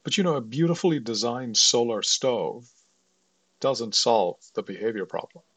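A man with a husky, slightly rough voice at a middle pitch hosts an interview podcast. He sounds a little off the microphone in a dry space, talking conversationally. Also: expressive, normal speed, fairly bright tone, dry recording, far from the mic. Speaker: interview host.

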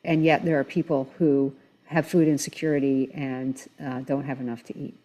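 An adult speaker giving a conversational, explanatory account as an expert guest, in a measured way with everyday examples. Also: mid-range voice, level tone, warm tone, rough recording. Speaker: expert guest on environmental talk show.

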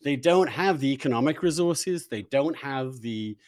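A man's voice: medium pitch, lively intonation, moderate pace, darkish tone, no echo, clean studio sound. A man interviewing a guest, posing long conversational questions mixed with opinionated, emphatic commentary.

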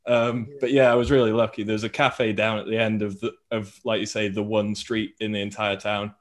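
A man with a fairly low voice. He co-hosts a podcast and talks in a loose, slangy, laddish style, as if among mates. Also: flat delivery, brisk. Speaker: podcast co-host. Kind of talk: casual chat.

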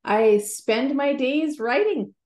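A woman's voice: high-pitched, expressive, takes it slowly, a little mellow, reverberant. A woman in her forties with a Canadian accent, a guest writer and Bible teacher talking earnestly in an interview and laughing easily. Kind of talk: interview conversation.

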